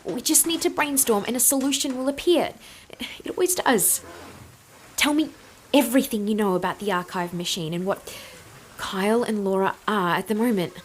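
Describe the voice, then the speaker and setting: A woman's voice: mid-range voice, a little hiss or hum, close to an everyday voice. A woman playing a driven inventor and team leader in an audio drama, decisive and commanding. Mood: determined, urgent. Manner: decisive, brisk orders.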